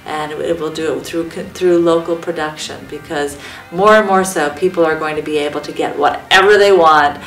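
Low-pitched American woman in a roomy space. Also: fairly even intonation, moderate pace. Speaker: public radio executive. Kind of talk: measured interview talk. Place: large dark glass-walled meeting room. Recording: roomy, slightly distant mic, music. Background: music.

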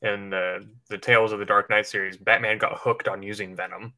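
Bright-voiced man with a low pitch. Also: flat delivery, brisk. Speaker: livestream co-host. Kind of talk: casual chat speculating about films.

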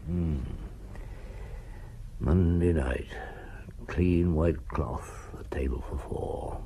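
An actor in a British radio drama playing a shrewd, stubborn middle-aged French chief inspector, wry and given to murmuring to himself. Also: male voice, very deep voice, drawn-out words, creaky, mellow, slight background noise, full character voice. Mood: brooding.

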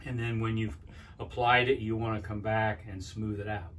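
An older man giving a plainspoken woodworking how-to in his home workshop, pausing with "uh" as he explains. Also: fairly low pitch, steady tone, a bit bright, far from the mic, a little hiss or hum. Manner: plainspoken, with frequent "uh" pauses and restarts. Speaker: woodworking instructor. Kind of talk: casual how-to demonstration. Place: cluttered home workshop, indoors. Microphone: camera at a distance.

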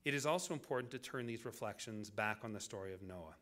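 Low-voiced man giving a measured lecture. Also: lively intonation, bright, forceful tone. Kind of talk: scripted public lecture.

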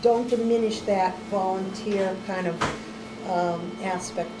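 A woman's voice: mid-range voice, fairly even intonation, takes it slowly. Woman, audience member, earnest, emphatic, echoey room, noisy background. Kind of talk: casual comment.

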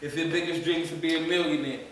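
A young man runs a youth poetry workshop, his somewhat high voice casual and encouraging as he repeats lines slowly. He is picked up by a camera far across a hard-walled room. The sound is very echoey, with heavy background noise. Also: steady tone. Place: large community room with hard walls.

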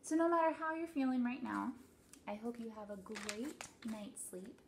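A woman in her thirties, a teacher doing an expressive read-aloud story time for children. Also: on the high side, normal speed, background noise. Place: small indoor office.

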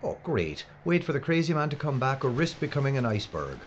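An actor playing an excitable, grumbling, sarcastic man in an audio drama, with theatrical delivery. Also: medium pitch, brisk, lightly acted. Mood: sarcastic, exasperated. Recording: dull lo-fi audio, faint noise.

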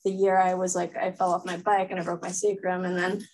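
A woman's voice: fairly low pitch, monotone, a little fast, a little mellow, low-quality recording. A Belgian woman, an Olympic triathlete, speaking as a guest and answering questions in a casual, chatty way full of "like". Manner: chatty, filler-heavy, many "like"s.

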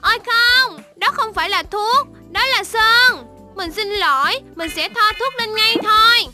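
A woman's voice: extremely high pitch, unhurried, over loud music. A woman voicing a cheerful, caring little shark doctor in a children's cartoon, encouraging and exclamatory as she talks to young viewers. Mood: surprised, concerned.

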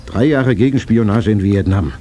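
An actor playing a determined, visionary older gentleman in a radio play, speaking in a measured, resolute way. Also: fairly low pitch, close to an everyday voice. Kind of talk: dubbed-style radio play acting. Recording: faint noise on cassette transfer.

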